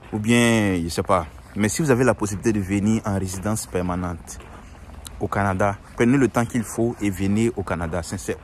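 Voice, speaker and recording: A man's voice: lowish voice, slight background noise. A young man giving earnest, repetitive advice in a casual monologue, often restarting his sentences.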